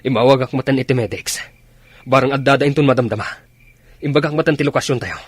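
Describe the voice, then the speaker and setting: An actor with an Ilocano accent playing a steady commanding army officer in a radio drama, terse and authoritative. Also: male voice, medium pitch, fast, no echo, lightly acted. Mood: commanding, urgent.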